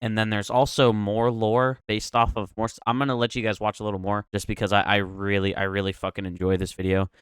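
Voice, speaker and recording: A man's voice: lowish voice, flat delivery, brisk, few pauses, smooth, no room sound, clean studio sound. A man giving casual, slangy, profanity-laced reaction commentary as a YouTuber. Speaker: YouTuber reacting to horror videos.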